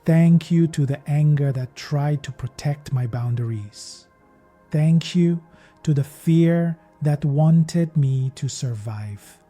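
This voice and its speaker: A man narrating a guided meditation in a gentle, measured, soothing manner, as a podcast host leading listeners. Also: on the high side. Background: meditation music.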